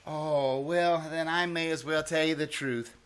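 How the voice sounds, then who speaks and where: A man's voice: a little high, fairly even intonation, unhurried, fairly bright tone, clear, resonant tone. Man playing a timid, stammering schoolteacher. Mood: sheepish. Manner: hesitant, stammering, polite. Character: timid, earnest, deferential male schoolteacher, easily rattled. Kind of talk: old-time radio comedy acting before a live audience. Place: small-town theater stage. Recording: live stage recording with noise.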